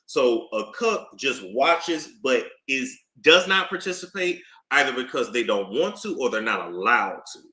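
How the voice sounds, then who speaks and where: Animated man with a bright, echoey voice. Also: mid-range voice, unhurried, hardly any pauses. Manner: animated, swings between loud outbursts and muttering. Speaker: streamer reading court documents. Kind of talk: casual commentary. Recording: echoey room.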